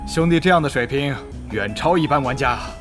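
男演员饰演多疑而沉稳的公会会长. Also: male voice, mid-range voice, lively intonation, a little fast, music in the background, natural voice. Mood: politely probing. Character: wary, calculating male online game guild leader. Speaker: voice actor. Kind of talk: Chinese audio drama acting.

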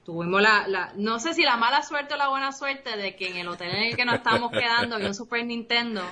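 A woman's voice: fairly high pitch, quick pace, noisy background. A woman in her thirties from Costa Rica, living in Puerto Rico, with a Puerto Rican Spanish accent that has Costa Rican traces. She is a guest in a casual interview, rambling with restarts and fillers and mixing in English words. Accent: Puerto Rican Spanish with some Costa Rican traces. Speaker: interview guest, game designer.

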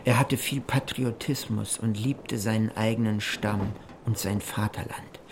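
A man giving measured, formal narration of a journal in a literary radio play. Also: lowish voice, fairly even intonation, noisy background. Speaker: narrator reading an explorer's journal.

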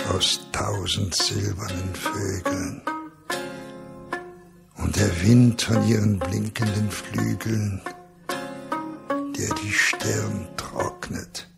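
An actor in a radio drama playing a solemn balladeer, reciting poetic verse in a measured, gravelly voice. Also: male voice, on the low side, full character voice. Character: solemn male balladeer of the heron hunters' song. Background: plucked guitar music.

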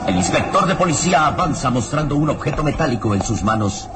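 A man's voice: on the high side, expressive, fast talker, over loud music. A man performing as the solemn, suspenseful storyteller of an old adventure radio serial, with dramatic scripted narration. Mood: tense narration.

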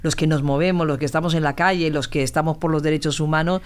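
High-pitched man from Spain. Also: fairly even intonation, quick pace, clear, resonant tone. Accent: Spanish from Spain. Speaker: podcast participant and activist. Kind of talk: casual chat.

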